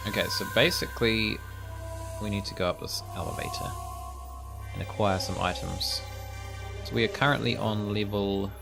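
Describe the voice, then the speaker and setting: A man's voice: lowish voice, steady tone, moderate pace, vocal fry, pauses often, over music. A young man streaming a video game and giving casual, rambling commentary as he thinks aloud. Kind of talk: casual let's-play commentary.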